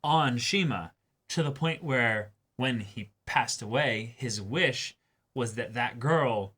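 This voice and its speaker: A man's voice: mid-range voice, slow, sharp tone, slightly rough. A man co-hosting a reaction show, talking casually and wordily as he analyses an anime episode.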